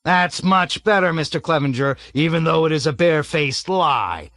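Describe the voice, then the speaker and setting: A man voicing a bloated, blustering army colonel who roars and hectors in a raised, loud voice. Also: medium pitch, sing-song, unhurried, no room sound. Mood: bellowing, bullying. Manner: roaring, hectoring, sarcastic. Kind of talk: audiobook character voice.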